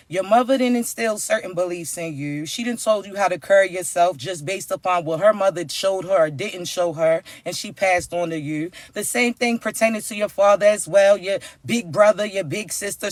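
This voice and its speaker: A raspy-voiced man hosting his own channel, giving an earnest, coaxing spiritual message to his viewers and often checking in with them. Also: sits high, no echo.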